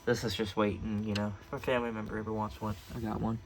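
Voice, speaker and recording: A man's voice: lowish voice, level tone, quick pace, slight background noise. An American man talking casually and unscripted as he shows off a collection, rambling and hesitant, often correcting himself. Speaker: radio collector showing off collection. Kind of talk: casual, unscripted show-and-tell. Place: indoors at home.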